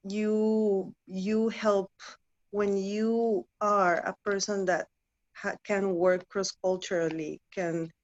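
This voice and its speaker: A woman in her thirties from Guatemala, a native Spanish speaker, chatting as an interview guest with many halting restarts. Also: mid-range voice, highs cut off. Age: 30s. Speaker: interview guest, former client. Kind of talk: casual interview chat.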